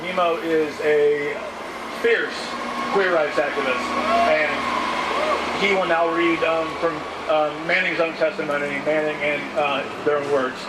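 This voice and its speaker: A man's voice: a little high, animated, slow, distant mic. A man acting as announcer, giving an informal introduction at an outdoor rally. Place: outdoors at a city street rally. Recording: very noisy, echoey outdoor crowd recording.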